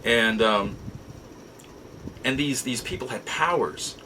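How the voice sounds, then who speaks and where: A middle-aged American man telling nostalgic personal stories in a casual, halting, reflective way with drawn-out pauses. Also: mid-range voice, background noise. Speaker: podcast host. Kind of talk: casual nostalgic storytelling monologue.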